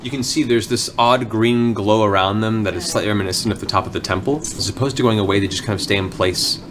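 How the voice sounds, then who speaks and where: Man, low pitch, game master, dramatic descriptive narration. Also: fast talker, background noise.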